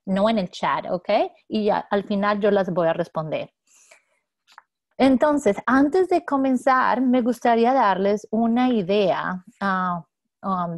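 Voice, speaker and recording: A woman mediator presenting a webinar lecture, speaking haltingly with many fillers and restarts over a video call. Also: mid-range voice, no echo. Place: home office in San Diego.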